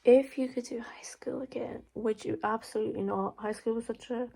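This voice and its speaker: Gravelly young Irish woman vlogging. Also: a little high, fairly even intonation, moderate pace, warm tone. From Ireland. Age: young adult. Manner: chatty, frequent "like" and "um" fillers, blunt swearing. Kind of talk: casual Q&A vlog.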